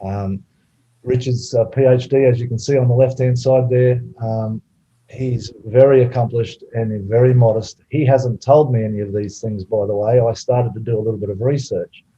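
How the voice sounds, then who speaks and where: Man, webinar host, very dark voice, halting with fillers, slightly muffled call audio. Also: medium pitch, husky. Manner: relaxed, hesitant with many fillers. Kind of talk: hosting an online webinar. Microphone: video call.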